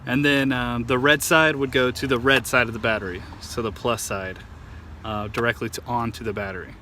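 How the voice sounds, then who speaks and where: A man's voice: medium pitch, fairly even intonation, fairly quick, bright, forceful tone. A man giving a casual, modest how-to explanation in an informal, hesitant way, like a DIY video host. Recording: clear vehicle and outdoor ambient noise.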